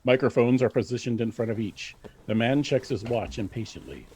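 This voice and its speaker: A man's voice: medium pitch, a little fast, a little mellow, husky, dry recording. A man narrating and announcing a scripted radio drama.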